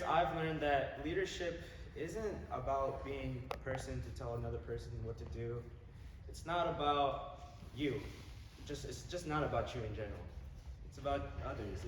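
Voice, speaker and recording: A teenage male candidate giving an informal speech to a group, halting and often rephrasing himself. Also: medium pitch. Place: large echoey indoor room. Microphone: distant microphone. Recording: echoey hall from far off, background noise.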